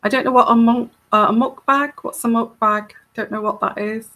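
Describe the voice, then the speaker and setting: A woman with a soft, soothing voice hosting a live tarot Q&A, chatting casually and rambling with many fillers and restarts. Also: fairly high pitch, fairly even intonation, fairly quick. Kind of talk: casual live-stream chat.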